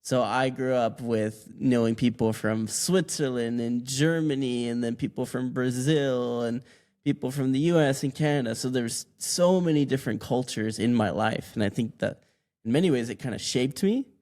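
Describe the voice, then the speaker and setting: A man in his twenties who grew up in Mexico, telling his story as a podcast guest in a relaxed, rambling way with many restarts. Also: mid-range voice, moderate pace. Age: 20s. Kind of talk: casual interview answers.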